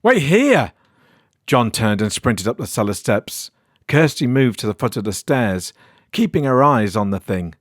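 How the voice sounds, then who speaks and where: A man's voice: lowish voice, normal speed, no echo, studio quality. A man with a British accent reading a weird horror story as scripted narration.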